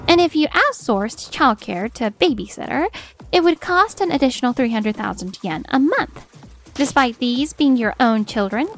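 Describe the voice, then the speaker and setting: A woman playing a composed, steely attorney in a manga dub, speaking formally and with measured assertiveness. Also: high-pitched. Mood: formal, firm. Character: composed, steely female attorney confronting a husband. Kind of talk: acted manga dub dialogue.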